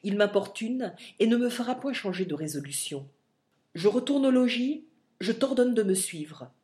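A woman's voice: fairly high pitch, animated, moderate pace, dark tone, pitched above the performer's own voice, lightly acted. A woman voicing a stern, unbending older father who speaks severely and curtly to his son in an audiobook reading. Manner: severe, curt.

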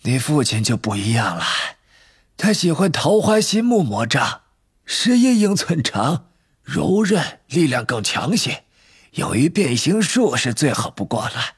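A man voicing an eerie, wistful old wandmaker in a storybook, soft and breathy, with drawn-out musing phrases. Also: medium pitch, big pitch swings, no room sound, studio quality, pitched above the performer's own voice, full character voice.

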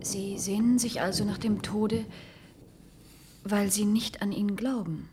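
A woman's voice: mid-range voice, fairly even intonation, a little slow, natural voice. An actress playing a concerned woman in a radio play, putting short, searching questions. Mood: grave, gentle. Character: concerned, probing female confidante of the old professor. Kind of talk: German radio play acting. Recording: loud thunderstorm and rain noise. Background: thunderstorm with rain.

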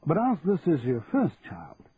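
A man voicing a cheerful, courteous doctor with a soft Scottish accent in a story reading. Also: a little high, wildly swooping pitch, unhurried, narrow, tinny audio, a little hiss or hum, pitched above the performer's own voice. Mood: cheerful, congratulatory.

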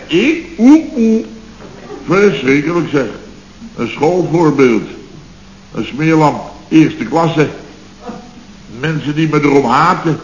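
An actor playing a self-important, muddled male contractor boss in a vintage radio comedy, blustering and stammering as he repeats himself. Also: fairly high pitch. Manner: pompous, repetitive, stammering bluster. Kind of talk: 1970s radio comedy acting. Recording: roomy, muffled, noisy old radio recording.

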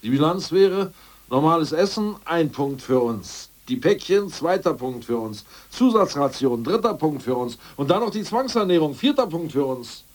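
An actor playing a hungry, scheming, irritable ex-official in a prison cell in a German radio play, with a volatile delivery. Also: male voice, a little high, very animated, normal speed. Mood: eager scheming. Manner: volatile, swinging from mutters to outbursts.

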